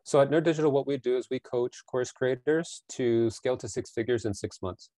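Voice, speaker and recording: A man's voice: medium pitch, fairly quick, dark tone, no room sound. A man in his forties, a business founder answering interview questions earnestly from a small bedroom over a video call, often repeating words as he thinks. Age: 40s. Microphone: headset over ears, video call.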